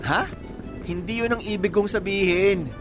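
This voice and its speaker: Guy acting as persistent lovestruck suitor, kinda high voice, jokey and flirty, radio drama w/ music. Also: steady tone, moderate pace, lightly acted. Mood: flirty teasing. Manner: teasing, flirtatious, easygoing. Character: persistent, cheeky, smitten male suitor, hardworking rice hauler.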